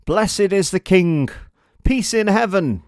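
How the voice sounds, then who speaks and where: A loud, excitable townsperson played by a male narrator calls out eagerly from an ancient crowd. The voice is pushed high and strong, recorded dry, in storybook style. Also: slow, dry recording, full character voice. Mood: proclaiming. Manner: calling out eagerly.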